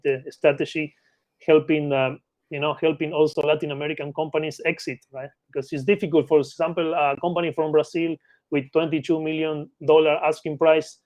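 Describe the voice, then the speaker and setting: A man's voice: fairly high pitch, a little mellow, dry recording. Argentine man, tags "right?" on his phrases. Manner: ends phrases with a questioning "right?". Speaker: guest, M&A expert. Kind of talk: casual interview talk.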